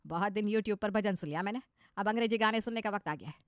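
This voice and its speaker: A woman's voice: mid-range voice, quick pace, clear, resonant tone, no echo, clean studio sound, lightly acted. A woman voicing a fretful middle-aged mother who turns showily modern in a cartoon story, chatty and emphatic. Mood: determined.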